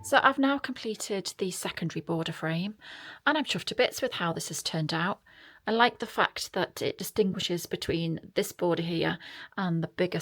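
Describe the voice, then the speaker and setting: A woman's voice: lowish voice, creaky, fairly bright tone. A British woman giving a casual, chatty craft tutorial as a vlog host. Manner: chatty, informal.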